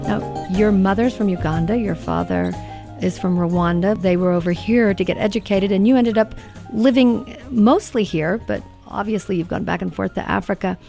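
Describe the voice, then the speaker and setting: A woman's voice: medium pitch, normal speed, vocal fry, warm tone, soft background music. A woman hosting a radio interview, asking questions in a conversational way. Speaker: radio host.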